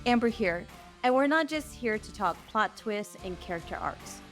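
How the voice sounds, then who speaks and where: A woman's voice: mid-range voice, lively intonation, moderate pace, faint music behind. A woman co-hosting a podcast, chatting casually about books in a playful, informal, gushing way. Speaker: podcast co-host. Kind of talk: casual book review chat.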